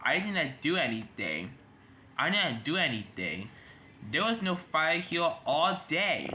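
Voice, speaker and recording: A man's voice: a little high, very animated, slow, sharp tone, slight background noise, heavily acted. A man voicing a grumpy male dockside crane who insists he did nothing. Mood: defensive.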